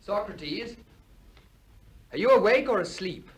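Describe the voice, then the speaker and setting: An actor playing an eager, earnest young Athenian disciple in a 1960s radio play. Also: male voice, high voice, animated, slow pace, slightly rough, full character voice, rough recording. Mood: eager, pleading. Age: young adult.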